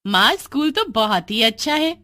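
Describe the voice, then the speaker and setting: A woman voicing a young half-goat witch girl, an animated, eager daughter in a storybook cartoon. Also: on the high side, moderate pace, no echo, studio quality, voice pushed up. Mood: cheerful. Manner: excitable, pleading, turning gleefully menacing.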